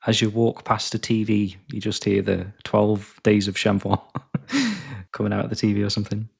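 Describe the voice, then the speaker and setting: A man's voice: lowish voice, gravelly, slightly rough. Jokey male podcast host. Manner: jokey, laughs often, quick asides. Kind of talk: casual chat.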